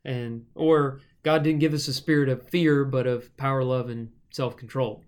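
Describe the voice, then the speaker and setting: A man of middle pitch leads a conversational Bible study on a podcast. He explains each point patiently and informally. His talk is loose and full of small fillers. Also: normal speed, smooth. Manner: relaxed and explanatory, with frequent fillers.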